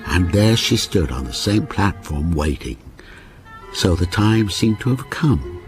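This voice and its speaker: An actor playing a lonely, obsessive older man in a radio ghost story, with a brooding, deliberate delivery that repeats broken phrases. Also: low-pitched, very animated, soft background music. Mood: brooding, wistful. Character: lonely, obsessive, sinister old railwayman ghost narrating his story. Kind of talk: radio drama monologue.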